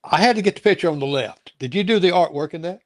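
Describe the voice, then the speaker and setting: A man's voice: on the high side, expressive, fast, no room sound. Chuckling man host, dry close sound. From North Carolina. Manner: rambling, chuckling often, many restarts. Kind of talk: casual interview chat.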